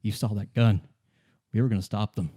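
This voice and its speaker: A man playing a bitter, weary old communist deserter in a radio play, a defiant former commissar who speaks haltingly and insistently. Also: fairly low pitch, fairly even intonation, mellow, lightly acted. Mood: haunted, reminiscing. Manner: halting, repeating himself, grimly insistent.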